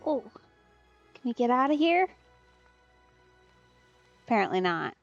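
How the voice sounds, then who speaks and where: A woman's voice: on the high side, very animated, unhurried. A woman gaming streamer giving casual, wry, amused commentary as she plays. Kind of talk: casual Let's Play commentary. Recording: slightly dull mic with game music. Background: game music.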